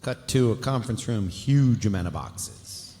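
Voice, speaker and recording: A man's voice: on the low side, expressive, normal speed, dark tone. A man reading stage directions as scripted narration at a live table read. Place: large festival hall with stage. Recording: echoey hall, faint noise.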